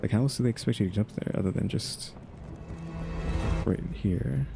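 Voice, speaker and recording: A man with a low voice chats his way through a video game. He rambles and thinks aloud, often tossing in questioning asides, while loud music plays behind him. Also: normal speed, creaky. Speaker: gaming streamer. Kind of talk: casual game playthrough commentary.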